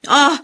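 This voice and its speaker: A woman playing a callous, impatient adult daughter in a Filipino radio drama, exasperated and dismissive. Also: bright, forceful tone.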